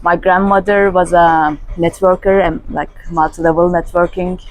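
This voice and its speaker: Woman from turkey, calm steady interview answers, lowish voice, dry close sound. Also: moderate pace, no echo, poor audio. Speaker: company general manager, guest.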